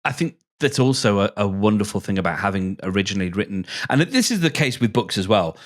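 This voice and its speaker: A man's voice: lowish voice, animated, fast. A man chatting casually on a podcast, feeling his way thoughtfully through his phrases. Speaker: podcast speaker. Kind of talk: casual discussion.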